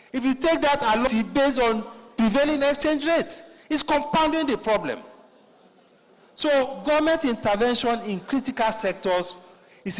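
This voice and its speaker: A man, making emphatic policy points on a conference panel and posing rhetorical questions. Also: high-pitched. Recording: long hall reverb.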